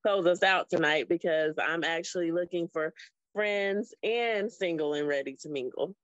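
A woman's voice: fairly low pitch, moderate pace, no room sound. A woman in her late thirties from South Florida, speaking on a video call. Her talk is friendly and reflective, with plenty of ums. The audio is dull and dry. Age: 30s. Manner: friendly, thoughtful, with frequent ums. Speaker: guest. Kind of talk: casual video call chat. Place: small room indoors.

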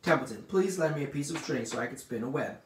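A man's voice: a little high, a little fast, voice pushed up, lightly acted. A man voicing a lazy, self-pitying storybook rat, growling, sarcastic and full of mocking complaint. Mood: disgusted. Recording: roomy, distant mic with faint noise.

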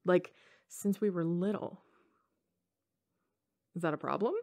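Casting: a woman to play a college-age tomboy gamer girl for intimate ASMR roleplay; teasing, slangy, casual, with noticeable vocal fry. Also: on the low side, unhurried, darkish tone. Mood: playful, relaxed. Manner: casual, slangy, teasing, frequent chuckles and hesitations.

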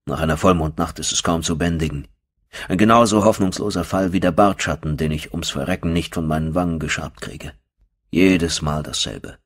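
A man's voice: deep voice, normal speed. A man narrating a first-person horror audiobook as a storyteller.

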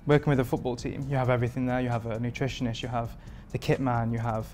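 A man's voice: medium pitch, fast. Male chef, 30s, from England; easygoing, level delivery, lapel mic in a kitchen, light music bed. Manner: relaxed, rambling with many fillers. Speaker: performance chef. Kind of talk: interview answers. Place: modern home kitchen indoors.

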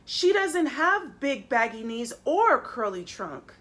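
A woman's voice: sits high, sing-song, takes it slowly, fairly bright tone, exaggerated voice. A woman voicing a small, upset monkey child from a children's storybook, plaintive and insistent as it protests. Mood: protesting, insistent. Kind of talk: children's storybook read-aloud.

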